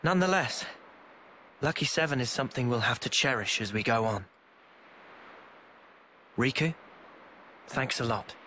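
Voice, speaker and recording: An actor playing an earnest, thoughtful young male hero in a video game, soft-spoken and questioning. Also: medium pitch, lively intonation, measured pace, long pauses, a little hiss or hum. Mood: sincere, curious. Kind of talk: video game cutscene voice acting.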